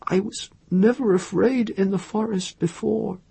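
A shy, earnest young woman in a storybook, acted by a man. The voice sits higher and breathy, halting and uneasy as it searches for words. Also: big pitch swings, darkish tone, no room sound, heavily acted. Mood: frightened. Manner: hesitant, halting.

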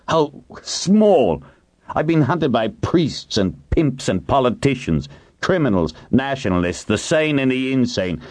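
A man's voice: rumbling low, dry recording. A man voicing a ruthless, bitter ageing tycoon in an audiobook, growling and emphatic.

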